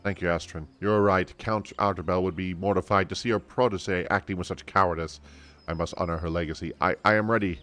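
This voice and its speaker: A man voicing an anxious, lovesick young noblewoman from a fantasy game, speaking haltingly with stammers. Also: low-pitched, steady tone, a bit bright. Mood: resolute.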